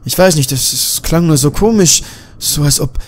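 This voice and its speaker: Female journalist in a gothic audiobook, wary, halting, raised pitch, played by a man. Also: close to an everyday voice. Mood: uneasy. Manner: hesitant, uneasy.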